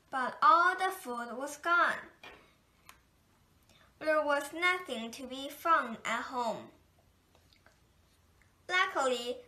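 A woman reading a children's picture book aloud in a gentle, unhurried storytelling manner. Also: high-pitched. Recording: echoey room.

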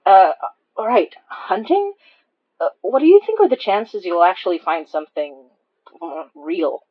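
A woman's voice: lively intonation, unhurried, lo-fi sound. A woman playing a flustered, stammering, easily startled young woman in an audio drama. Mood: hesitant. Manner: stammering, hesitant, swoops in pitch. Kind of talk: naturalistic audio drama dialogue.

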